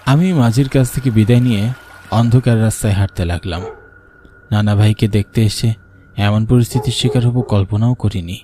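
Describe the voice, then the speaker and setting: A man's voice: on the low side, brisk, dark tone, a little hiss or hum. A man narrating a scripted first-person horror story as the storyteller.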